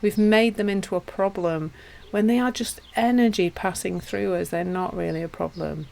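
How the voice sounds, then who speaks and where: A woman with a Yorkshire accent teaching and chatting casually with viewers on a live stream, self-deprecating and warm in her asides. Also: mid-range voice, normal speed, vocal fry. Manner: chatty and self-deprecating, with frequent restarts and laughs. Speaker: meditation teacher.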